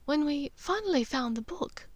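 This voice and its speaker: A woman's voice: high-pitched, lively intonation, normal speed, no room sound, pitched above the performer's own voice. A woman voicing a refined, reflective mother in an audiobook, speaking hesitantly and confidingly. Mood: grave, confiding. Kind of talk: audiobook character dialogue.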